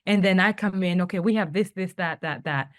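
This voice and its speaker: A woman's voice: fairly low pitch, brisk. A young woman from Tanzania, a podcast guest, telling her story in a chatty way full of fillers and acted-out quotes. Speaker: guest, travel company founder. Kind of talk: casual podcast interview.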